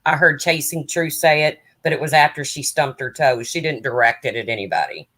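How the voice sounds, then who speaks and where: Deep raspy woman chatting on a live stream. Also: a little fast, a bit bright. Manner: chatty, answers viewers' comments aloud by name. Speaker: true crime livestreamer. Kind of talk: casual live stream chat.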